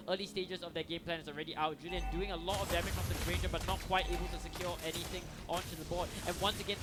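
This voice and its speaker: High-voiced man casting an esports match. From Singapore. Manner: energetic, chatty, frequent restarts and "you know". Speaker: esports caster. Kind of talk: esports play-by-play commentary. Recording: commentary over loud game music.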